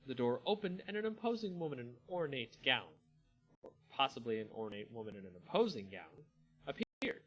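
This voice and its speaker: A man hosting a dramatic story reading, expressive in delivery and now and then stumbling and correcting himself. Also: medium pitch, fairly quick, slightly rough, low-quality recording. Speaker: host reading a fantasy story aloud.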